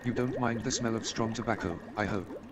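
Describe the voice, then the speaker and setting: A man's voice: on the low side, fairly even intonation, fairly quick, a crowd in the background, natural voice. A man playing an eager, self-assured amateur detective and chemist in a staged radio play, speaking in an emphatic, pedantic way. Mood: brisk, candid. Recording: noisy with watery background sound.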